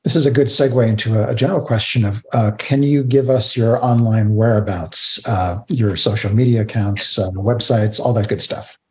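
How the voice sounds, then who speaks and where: A man's voice: fairly low pitch, steady tone, moderate pace, hardly any pauses, darkish tone. A middle-aged man hosting a casual interview over a video call. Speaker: interview host.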